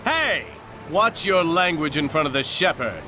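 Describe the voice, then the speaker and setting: A man's voice: on the high side, very animated, a little slow, pushed and bright, faint music behind, full character voice. A man voicing a brash, loyal, crude soldier in a video game, boastful and irreverent. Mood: defiant, fervent. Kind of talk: English game voice acting.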